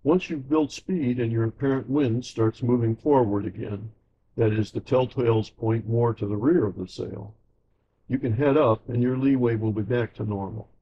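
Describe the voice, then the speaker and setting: A man giving a scripted sailing lesson as slideshow narration, in an even, measured explanatory way. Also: medium pitch, muffled recording, slight background noise. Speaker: sailing instructor.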